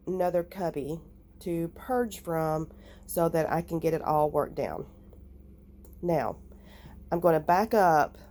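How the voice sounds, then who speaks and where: A woman with a raspy, nasal, hoarse voice, talking through her crafting clean-out in an unhurried, thinking-aloud vlog. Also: on the low side, mellow. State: recovering from illness, hoarse. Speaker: crafting vlogger. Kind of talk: casual vlog talk and voiceover.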